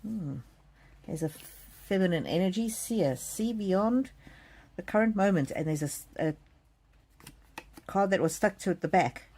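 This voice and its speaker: A middle-aged woman from South Africa giving a gentle live oracle card reading, speaking softly and addressing viewers by name. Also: medium pitch, expressive, unhurried, long pauses. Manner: gentle, soothing, talks straight to viewers by name. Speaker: card reader.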